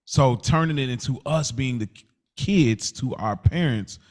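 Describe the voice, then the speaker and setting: A man's voice: mid-range voice, unhurried. A man co-hosting a podcast, talking casually and searching for words as he argues and acts out examples. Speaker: podcast co-host. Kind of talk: casual conversational debate.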